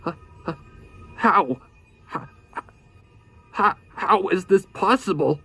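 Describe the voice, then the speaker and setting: A man's voice: sits high, slow, sharp tone, slightly rough, faint music behind, pitched above the performer's own voice, exaggerated voice. A man voicing a frightened, desperate pony stallion in a horror story reading, stammering and crying out. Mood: bewildered, frightened. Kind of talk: horror fan-fiction dramatic reading.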